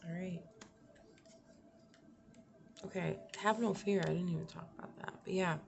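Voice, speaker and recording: A young woman with a slightly raspy, lowish voice, musing through a tarot spread in a casual, thinking-aloud way. She trails off and restarts often. A steady hiss of background noise sits under her voice. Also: normal speed. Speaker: tarot reader. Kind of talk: casual tarot reading to camera.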